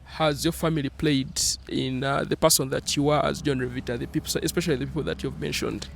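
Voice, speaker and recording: A man's voice: medium pitch, fairly even intonation, quick pace, vocal fry, a little hiss or hum. A young man with a Ugandan accent hosting an interview, upbeat and full of fillers, switching between English and Luganda. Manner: upbeat, many fillers like "uh" and "you know". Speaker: interview host.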